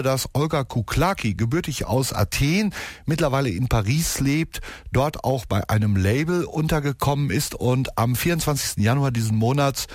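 A man's voice: medium pitch, no room sound. Dry-sounding male radio host. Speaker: radio music show host. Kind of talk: unscripted music radio presenting. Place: radio studio. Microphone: close studio radio microphone.

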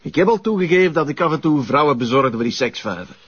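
A man's voice: fairly high pitch, expressive, fast talker, a bit bright, breathy edge. A man acting as a surly, evasive, foul-mouthed Flemish café owner who is being interrogated in a crime drama. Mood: defensive. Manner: defensive, coarse, blustering. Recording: dull, muffled audio.

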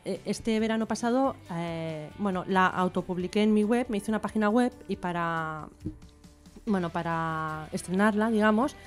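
A woman's voice: mid-range voice, moderate pace, soft background music. A middle-aged bilingual Catalan and Spanish woman with a Barcelona accent, explaining her writing chattily as an interview guest. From Barcelona, Spain. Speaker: guest writer. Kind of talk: informal interview conversation.

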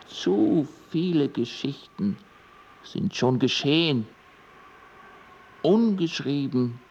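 An actor playing a storyteller musing on lost time in a theatrical, poetic radio play, speaking in halting fragments with long pauses. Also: male voice, mid-range voice, vocal fry, slight background noise, heavily acted. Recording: muffled lo-fi audio with music. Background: prominent music.

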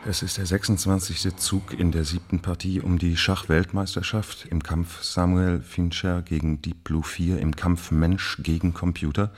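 A deep, slightly rough-voiced male commentator in a radio play. He calls the contest with dramatic suspense, placing his pauses deliberately. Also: flat delivery. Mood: tense, suspenseful. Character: male chess match commentator, gripped by suspense.

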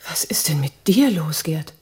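An actress playing a direct, guarded and inquisitive woman with a husky, mellow voice in a radio drama. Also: low-pitched, animated, measured pace, exaggerated voice. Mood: questioning, guarded.

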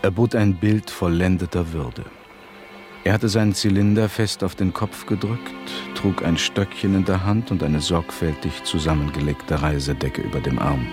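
Composed low-voiced male narrator. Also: steady tone, moderate pace. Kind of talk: scripted radio drama narration. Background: music.

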